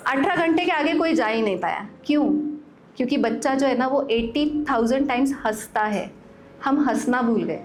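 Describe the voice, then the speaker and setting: A woman in her forties, a wellness coach leading a live workshop, lively, questioning the audience and giving step-by-step instructions while mixing in English phrases. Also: high voice, slight background noise. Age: 40s. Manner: mixes Hindi with English phrases. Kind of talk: live workshop talk to a group.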